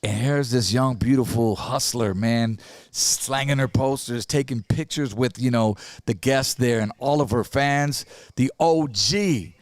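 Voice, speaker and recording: Hype podcast host, dry mic. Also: male voice, mid-range voice, a little slow, few pauses, no echo. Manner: loose, joking, hype-man talk with slang. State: slightly raspy from partying. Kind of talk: casual chat.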